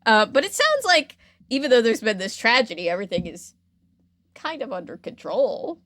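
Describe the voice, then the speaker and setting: A woman's voice: a little high, very animated, normal speed, noisy background. A woman hosting a podcast, telling a story in a playful, informal, conversational way with casual asides. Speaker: podcast host.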